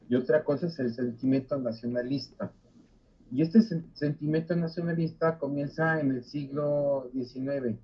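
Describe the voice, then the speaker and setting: An older man giving a university lecture, halting with frequent fillers and restarts. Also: medium pitch, breathy edge. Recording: dull lo-fi recording.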